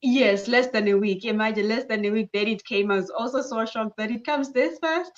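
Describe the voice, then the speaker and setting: A woman's voice: fairly high pitch, brisk, dull audio. Cheerful Zimbabwean woman, repeating for emphasis. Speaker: guest. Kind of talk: casual interview answers.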